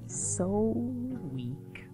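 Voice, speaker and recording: An actress playing a scornful, frustrated firestarter in a radio play. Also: female voice, fairly low pitch, animated, extremely slow, warm tone, faint music behind.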